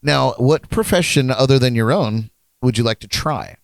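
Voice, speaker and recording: A male podcast host asks questions in a bouncy, lively voice and laughs often. His mic is dry and close. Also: medium pitch, normal speed, creaky, dry recording. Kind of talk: casual podcast interview.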